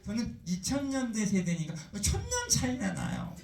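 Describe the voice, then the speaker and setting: A man's voice: sits high, huge pitch swings, normal speed, gravelly, a little mellow, reverberant, recorded from a distance, full character voice. A man voicing a young woman comedian who playfully mocks her older friend. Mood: teasing. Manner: mocking, playful.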